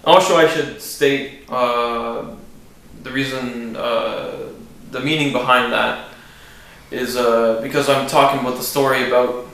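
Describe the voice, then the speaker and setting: A man with a deep voice, a country musician, talking casually about his song in a relaxed, rambling way with many fillers. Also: unhurried. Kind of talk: casual interview talk. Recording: echoey room with faint noise.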